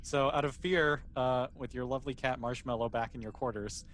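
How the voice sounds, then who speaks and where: A man with a medium-pitched voice acts as game master for a tabletop role-playing game. He talks in a casual, conversational way, with slight noise in the background. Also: fairly even intonation, brisk, a bit bright.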